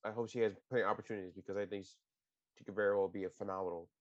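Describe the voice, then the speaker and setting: A man's voice: on the low side, fairly even intonation, very fast, no echo, dull audio. A man co-hosting a casual podcast, opinionated and rambling, often restarting sentences. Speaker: podcast co-host.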